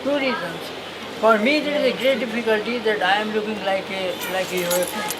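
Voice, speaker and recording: Earnest halting man, heavy noise. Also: sits high. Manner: earnest, halting non-native phrasing. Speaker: community member.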